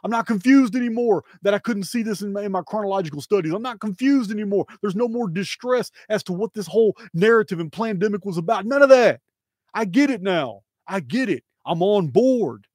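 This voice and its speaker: A man's voice: sits high, animated, brisk, a little mellow, dry recording. A middle-aged man delivering an impassioned, preachy monologue to his viewers, repeating phrases for emphasis into a close studio mic. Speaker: channel host. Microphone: close studio microphone on boom arm.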